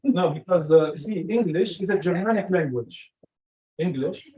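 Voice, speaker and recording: A middle-aged man whose first language is Arabic speaks from a distance in a reverberant room. His voice sits fairly high for a man. He talks informally to a group and repeats phrases emphatically to drive them home. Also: measured pace, warm tone. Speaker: speaker at a community meeting on personal branding. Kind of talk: informal talk to a small group. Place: indoor meeting room. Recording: echoey room, far from the mic.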